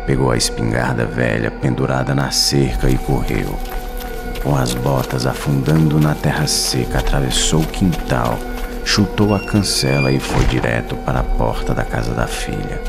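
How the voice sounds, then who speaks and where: A man's voice: a bass voice, creaky. A Brazilian man telling a scripted horror story, solemn and suspenseful, in short weighted phrases. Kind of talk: dramatic scripted horror narration. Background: music.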